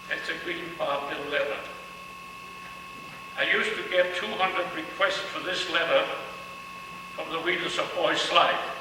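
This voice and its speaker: Older man from Denmark, fairly high voice, distant mic, noisy hall echo. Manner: unhurried, repeats phrases while searching. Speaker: guest speaker at a ceremony. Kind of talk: ceremonial speech to an audience. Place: large hall. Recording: echoey hall from far off, noisy.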